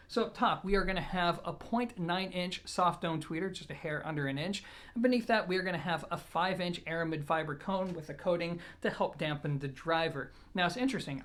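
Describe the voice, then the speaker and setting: A man presenting a product review in a conversational, explanatory way, measured but leaning on key words for emphasis. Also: fairly high pitch, fairly bright tone. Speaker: audio gear reviewer, presenter.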